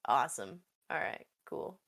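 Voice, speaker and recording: A woman's voice: very deep voice, lively intonation, a little slow, gravelly, a bit bright. A woman chatting informally as a player in a tabletop roleplaying game, improvising in a hesitant, rambling way. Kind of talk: casual improvised roleplay chat.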